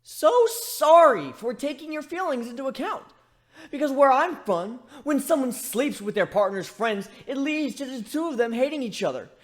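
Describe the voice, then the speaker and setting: A man's voice: very high-pitched, animated, moderate pace, a bit bright, pitched above the performer's own voice. A man voicing an awkward, insecure male griffin in a dramatic story reading, emotional and sarcastic. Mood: bitter, angry.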